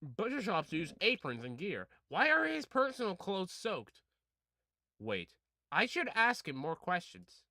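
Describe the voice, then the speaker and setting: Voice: medium pitch, wildly swooping pitch, a little slow, pushed and bright, no room sound. A gaming video host reading a visual novel aloud and adding slangy, casual commentary, stumbling over words now and then. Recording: music playing behind the voice. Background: music.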